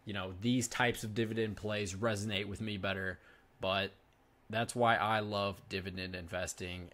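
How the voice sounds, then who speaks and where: A young man in his twenties with a somewhat low voice hosts a finance channel. He talks in a loose, chatty way and keeps turning to address his viewers directly. The delivery sounds like relaxed, unscripted commentary. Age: 20s. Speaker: finance YouTuber host. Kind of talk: casual reaction commentary.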